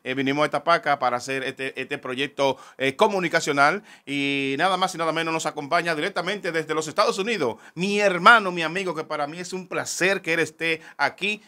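A Dominican-accented man in his thirties hosts a casual comedic chat, teasing his guest and laughing often. His voice is bright and somewhat high. He talks into a desk mic inside a small clothing shop. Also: fairly quick, hardly any pauses. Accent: Dominican Spanish. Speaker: host. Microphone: desk boom mic.

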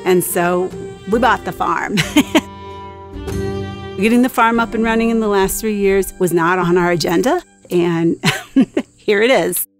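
A woman's voice: medium pitch, takes it slowly, pauses often, faint music behind. A middle-aged woman speaking conversationally and reflectively as a farm cafe owner in a documentary interview.

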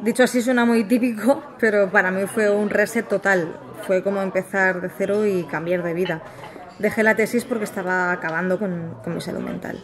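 A woman's voice: mid-range voice, fairly even intonation, brisk, natural voice. Actress as guarded Spanish woman amid café chatter. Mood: guarded, uneasy. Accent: Spain. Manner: hesitant, guarded, sincere. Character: reticent, guarded former researcher, a young mother. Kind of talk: naturalistic audio drama interview. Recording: busy café chatter behind. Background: café crowd.

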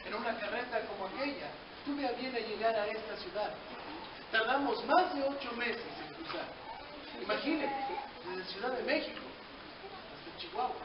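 A man's voice: very high voice, normal speed, noisy background. A man playing a solemn Mexican president. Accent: Mexican Spanish. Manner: solemn, declamatory storytelling to children. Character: dignified male 19th-century president recounting his life. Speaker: costumed historical reenactor. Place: large echoey room in a historic house. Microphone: far camera, no mic. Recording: distant echoey lo-fi camcorder audio. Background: murmuring children, room ambience.

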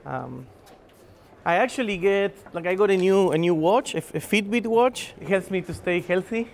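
Gravelly-voiced man from Argentina, chatty. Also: sits high, normal speed. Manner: chatty, hesitant, with many fillers and restarts. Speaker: recruiter at a tech company. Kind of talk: casual on-camera interview. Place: office building and company shuttle.

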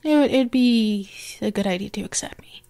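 A woman's voice: medium pitch, normal speed, warm tone, close to an everyday voice. A woman voicing a possessive, eager young lamia girl in an ASMR roleplay, coaxing and hesitant, often restarting her phrases.

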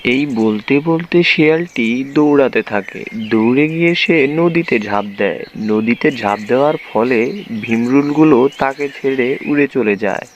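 Mid-pitched male storyteller, faint noise behind. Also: measured pace. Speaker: narrator. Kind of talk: scripted children's story narration.

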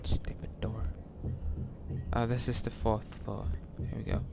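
Slow-talking young man gaming. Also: lowish voice, flat delivery, creaky, noisy background. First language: Swedish. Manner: muttering, reacting to a horror game. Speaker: gamer. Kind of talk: let's play commentary. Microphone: headset mic.

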